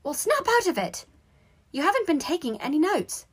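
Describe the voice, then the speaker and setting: Woman playing a bossy, high-pitched teenage schoolgirl. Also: expressive, moderate pace, husky. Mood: exasperated. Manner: snappy, emphatic, know-it-all. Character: bossy, exasperated teenage schoolgirl, sharp and scolding.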